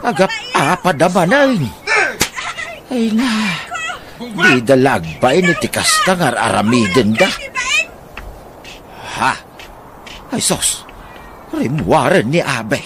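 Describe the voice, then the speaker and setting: Casting: exasperated busybody, slow drawn-out delivery, loud background noise. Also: female voice, sits low, wildly swooping pitch, exaggerated voice. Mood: exasperated, amused. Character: exasperated gossiping onlooker at a quarrelling couple. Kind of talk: Ilocano radio drama acting.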